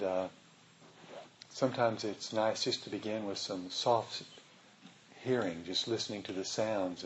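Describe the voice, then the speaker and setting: A man facilitating a meditation group, asking for questions and giving brief, encouraging replies. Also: lowish voice. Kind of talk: casual group discussion. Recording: dull lo-fi with faint noise.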